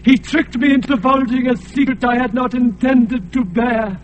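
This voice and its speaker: An actor playing an ancient, blinded alien god who is enslaved and sorrowful, speaking in a grave, chanting way. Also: male voice, very high voice, fairly even intonation, slight background noise, low-quality recording. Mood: sorrowful, solemn. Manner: slow, grave, chanting delivery. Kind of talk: radio drama acting.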